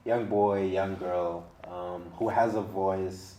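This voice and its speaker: Deep, mellow man, roomy sound. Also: fairly even intonation, takes it slowly, slight background noise. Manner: encouraging, affirming. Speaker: interview host. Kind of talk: casual interview chat.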